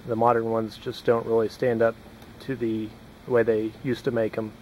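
A young man explaining hatchet woodworking in a relaxed, unhurried way while chopping outdoors in a yard, recorded on the camera. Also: medium pitch, slight background noise, rough recording. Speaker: woodworking instructor. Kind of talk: casual how-to demonstration.

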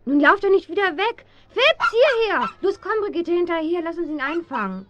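An actress playing a bold, eager, quick-witted teenage girl detective in a German children's radio play. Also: very high-pitched, sing-song, heavy background noise. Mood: eager, excited. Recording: dull, dry 1970s audio drama recording.

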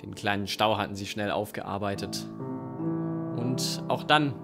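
A man's voice: fairly low pitch, steady tone, unhurried, vocal fry. A man, a young adult, narrating an improvised radio play on stage into a microphone. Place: dim theatre stage. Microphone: stand microphone close in front. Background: live guitar music.

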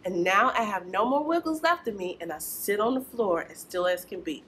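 A woman's voice: mid-range voice, lively intonation, brisk, a bit bright, slight background noise. A young woman presenting a preschool storytime, speaking to small children in a singsong, encouraging way. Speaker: children's librarian and storytime host. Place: small indoor children's library corner.

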